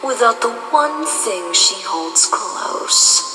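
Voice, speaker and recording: A woman voicing a sly shadow villain, the dark double of a pony princess, in an audio drama, mocking and menacing, often slipping into whispers. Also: a little high, animated, slow pace, recorded from a distance, background noise. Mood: seductive, menacing. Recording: echoey, with loud music.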